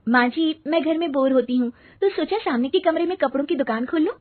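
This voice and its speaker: A woman's voice: sits high, fast, dry recording, phone-quality sound, voice pushed up, close to an everyday voice. A woman voicing an eager, anxious young daughter-in-law in a cartoon story.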